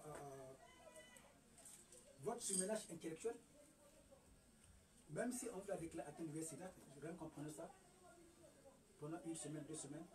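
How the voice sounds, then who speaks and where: A man's voice: high voice, recorded from a distance, poor audio. A man from the Congo lecturing on diet and remedies, hectoring with rhetorical questions and stammered restarts. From Republic of the Congo. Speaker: herbal remedy promoter. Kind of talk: lecturing monologue.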